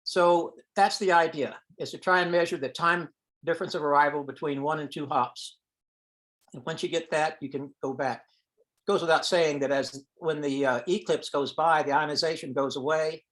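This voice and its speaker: A man with a somewhat high-pitched voice presents technical material on an online teleconference. His delivery is informal and explanatory, like someone talking colleagues through slides. At times he thinks aloud as he goes. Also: steady tone, normal speed, fairly bright tone, low-quality recording. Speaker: presenter at an amateur radio science teleconference.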